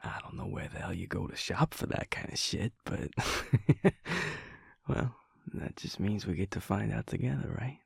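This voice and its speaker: A man voicing an affectionate, teasing, cocky young werewolf boyfriend in an intimate audio roleplay, murmuring informally in a gravelly, husky voice. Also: lowish voice, no room sound, studio quality, heavily acted. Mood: relaxed, fond. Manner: slow, murmuring, informal, swearing tenderly.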